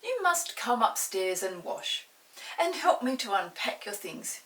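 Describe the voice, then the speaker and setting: A woman voicing a kindly, brisk mother of two schoolchildren in a storybook, speaking loudly and affectionately. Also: on the high side, big pitch swings. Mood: brisk, firm. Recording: hissy, distant room microphone.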